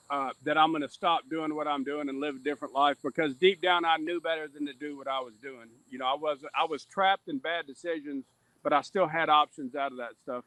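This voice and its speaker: A man with a slightly high-pitched voice shares his own story in a podcast conversation. He is earnest and candid, and he often loops back to repeat what he has just said. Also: pushed and bright, no room sound, poor audio. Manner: earnest, circles back over the same point with repetition. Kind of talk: candid personal testimony in conversation.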